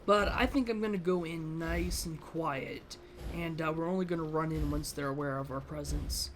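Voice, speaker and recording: A woman giving casual let's play commentary on a game, low-key and musing aloud. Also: steady tone, normal speed, a little hiss or hum. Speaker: gamer narrating a playthrough. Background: faint game music.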